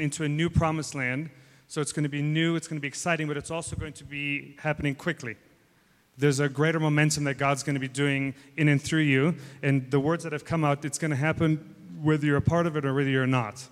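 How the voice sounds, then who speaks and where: A man's voice: a little high, fairly bright tone. A man with a mixed American and South African accent, preaching informally in a church hall, often repeating words and using fillers. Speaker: guest preacher. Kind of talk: church sermon. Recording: long hall reverb.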